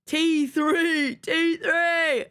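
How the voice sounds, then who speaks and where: A woman's voice: very high voice, steady tone, very slow, bright, forceful tone. A woman streaming live, chatting playfully with her chat, with a slight cough. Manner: playful, silly, sings nonsense syllables.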